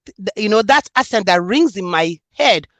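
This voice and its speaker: A woman's voice: mid-range voice, lively intonation, normal speed, dry recording. A woman from Nigeria with a Nigerian accent, speaking earnestly and reflectively in an interview about her book. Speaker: author and student. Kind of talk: interview conversation.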